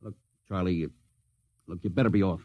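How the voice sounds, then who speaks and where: An actor playing a stern middle-aged spaceship captain with a soft spot for old crew, clipped and commanding in 1950s radio drama style. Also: male voice, deep voice, fairly even intonation, brisk, phone-quality sound, close to an everyday voice. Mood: regretful, fond.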